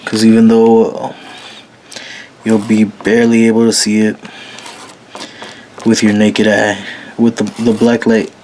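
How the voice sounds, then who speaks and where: A man with a very low voice talking casually and slowly while painting, with lots of fillers and a laid-back manner. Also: monotone, darkish tone, a little hiss or hum, rough recording. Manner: slow, rambling, frequent fillers, laid-back. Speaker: painting tutorial host. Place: small room at a painting table.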